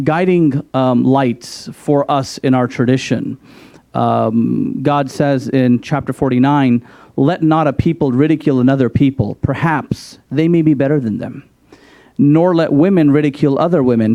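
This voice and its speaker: A man speaking as an imam at a public hearing panel, explaining his faith in a measured way with light self-deprecating humor. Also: mid-range voice, warm tone, no room sound.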